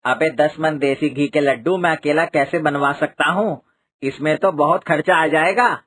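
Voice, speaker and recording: Cartoon role: man as excitable temple priest, fairly high voice, superstitious, blustering, scolding. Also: moderate pace. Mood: anxious. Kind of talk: Hindi cartoon moral story dub.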